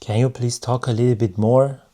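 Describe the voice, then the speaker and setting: A man giving a casual hands-on product review in measured, non-native English phrasing. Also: medium pitch, steady tone, warm tone. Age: adult.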